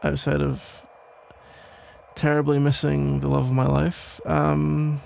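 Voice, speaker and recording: A man playing a protective, tender police officer still in love, speaking haltingly in an intimate ASMR roleplay. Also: a little high, monotone, vocal fry, slight background noise, exaggerated voice. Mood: wistful, self-deprecating. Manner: hesitant, halting, rambling with sighs and soft chuckles. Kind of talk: ASMR roleplay, intimate one-sided conversation.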